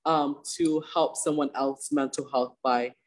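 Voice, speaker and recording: A young man in his late teens introducing himself on a video call, hesitant, with many "um" pauses. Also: medium pitch, steady tone. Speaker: student sharing a song. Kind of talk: shy spoken introduction. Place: indoors under an open wooden pavilion. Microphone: laptop webcam on a video call.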